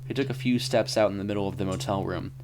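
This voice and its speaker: A man's voice: lowish voice, fairly even intonation, fast talker, background noise. A man reading scripted narration for a mystery short story, as its narrator. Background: background music.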